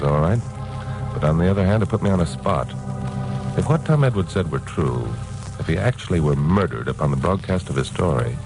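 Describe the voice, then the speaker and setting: An actor playing a wry, hardboiled newscaster detective with a breezy, clipped delivery in old radio drama style. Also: male voice, very deep voice, full character voice, poor audio. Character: wisecracking hardboiled newscaster detective narrating his case. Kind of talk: 1950s radio detective drama acting and narration. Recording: old radio recording, music behind.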